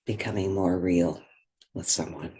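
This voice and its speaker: A woman leading a guided meditation in gentle, unhurried phrases with pauses. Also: extremely low pitch, steady tone, slightly rough.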